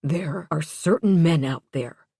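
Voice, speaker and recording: A woman voicing a stern, protective middle-aged father with a forceful delivery, in an audiobook. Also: sits low, a little slow, vocal fry, breathy edge, no room sound, clean studio sound, exaggerated voice. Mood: stern, agitated. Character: stern, agitated, protective father of grown daughter.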